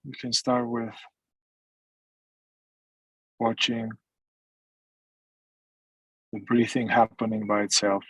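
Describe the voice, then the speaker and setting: Male meditation guide, husky and very even in pitch, gentle phrasing with long pauses. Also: no room sound, low-quality recording. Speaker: meditation teacher. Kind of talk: guided meditation, mindfulness instruction.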